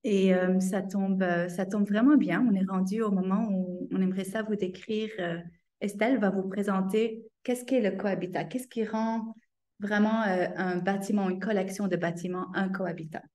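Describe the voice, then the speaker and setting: A woman's voice: mid-range voice, fairly even intonation, moderate pace, mellow. Upbeat woman hosting an online session. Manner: upbeat, engaging the audience. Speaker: host and moderator. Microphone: video call.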